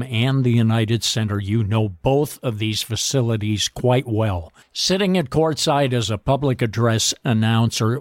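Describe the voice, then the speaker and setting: A man hosting a sports radio show, asking questions and signing off in a measured, courteous way. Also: mid-range voice, no echo, studio quality. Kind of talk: radio interview and sign-off.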